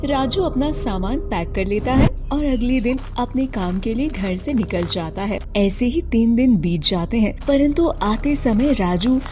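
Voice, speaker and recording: A woman's voice: on the high side, lively intonation, a little slow, few pauses, dark tone. A woman reading a scripted children's story as its narrator.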